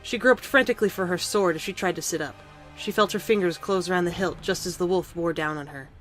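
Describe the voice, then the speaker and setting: A woman's voice: on the low side, fast talker, soft background music, rough recording. A woman reading scripted story narration for an audio drama.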